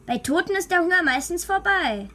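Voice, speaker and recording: High-voiced, insistent schoolgirl. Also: lively intonation, brisk, pushed and bright, exaggerated voice. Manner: matter-of-fact, persistent, chiding. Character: earnest, insistent schoolgirl daughter chiding her father. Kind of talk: German children's radio play acting.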